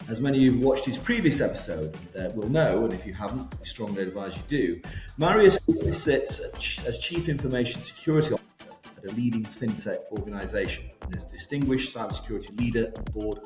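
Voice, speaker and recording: A man's voice: medium pitch, a little mellow. Man reading a podcast intro, echoey. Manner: formal, upbeat read. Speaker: podcast host. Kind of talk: scripted show introduction. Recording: echoey room with faint music.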